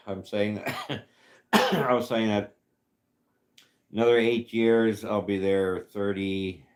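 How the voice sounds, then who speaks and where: A man in his fifties hosting a casual livestream chat, rambling and halting with many fillers as he answers viewers. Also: lowish voice, fairly even intonation. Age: 50s. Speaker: livestream host reading chat.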